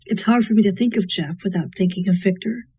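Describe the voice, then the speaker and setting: A woman reading a prepared tribute in a measured, sincere manner. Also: mid-range voice, warm tone, husky. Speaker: voice actor paying tribute.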